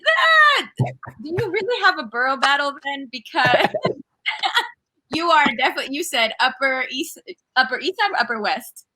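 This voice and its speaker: A Colombian-born woman hosting a casual panel chat, upbeat and quick with affirmations. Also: high voice, big pitch swings, bright, forceful tone, low-quality recording. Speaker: host.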